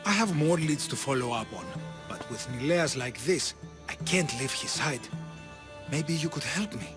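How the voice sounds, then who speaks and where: A man's voice: fairly high pitch, animated, normal speed, over music, lightly acted. An actor playing a caring, flirtatious male caretaker in a video game cutscene. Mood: worried.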